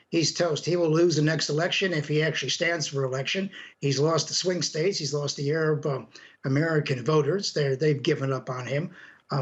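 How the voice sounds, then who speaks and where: An older man giving blunt, emphatic and halting commentary as an academic foreign affairs pundit in a live news interview. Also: on the high side, highs cut off.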